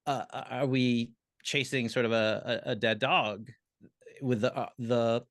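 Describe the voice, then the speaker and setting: A man with a medium-pitched voice in casual panel talk. He muses and poses questions, recorded dry with no room sound. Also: fairly even intonation, a little slow, a bit bright, no echo. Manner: musing, questioning. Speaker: theatre panelist.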